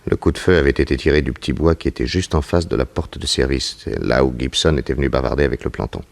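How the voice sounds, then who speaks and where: A man playing a calm, self-assured private detective in a 1960s French radio drama, composed and reassuring. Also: extremely low pitch, quick pace, creaky. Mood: explaining. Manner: composed, reassuring, probing with short questions.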